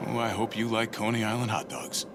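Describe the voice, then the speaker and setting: An actor playing a friendly, steadfast superhero leader and loyal old comrade in a video game, easygoing and straightforward. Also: male voice, on the low side, animated, a little fast, over music. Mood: joking. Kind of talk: cinematic video game acting.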